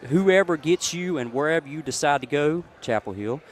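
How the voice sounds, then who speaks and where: A man's voice: fairly high pitch, animated, moderate pace. A man talks as a sports radio sidekick. His delivery is folksy, effusive and emphatic, in casual live post-game chat.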